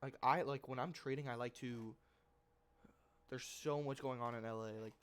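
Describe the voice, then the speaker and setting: A man's voice: medium pitch, steady tone, moderate pace, fairly bright tone, smooth. A man with a stuffy nose from a cold, host of a trading channel, talking through his trades in a loose, rambling, slangy way that keeps correcting itself. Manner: slangy and informal, mild swearing. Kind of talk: casual screen-share trade recap.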